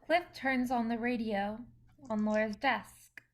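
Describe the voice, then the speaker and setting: A woman's voice: a little high, fairly even intonation, measured pace. A woman reading stage directions as scripted narration for a play reading.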